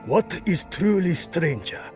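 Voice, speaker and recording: A man voicing an old rat martial arts master who speaks in a calm, formal, measured way. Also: high voice, faint music behind, full character voice.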